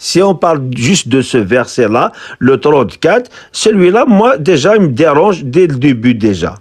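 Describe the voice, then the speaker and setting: Halting, argumentative man. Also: fairly high pitch, very animated, breathy edge. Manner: halting, repeats words and restarts, argumentative. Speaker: debater on a live stream. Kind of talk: heated live online debate.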